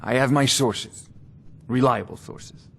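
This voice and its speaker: Pompous scholarly court wizard. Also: male voice, medium pitch, vocal fry, a bit bright, heavily acted. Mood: condescending, businesslike. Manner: pompous, condescending, deliberate phrasing. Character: haughty scholarly court wizard obsessed with dragon research. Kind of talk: video game character dialogue.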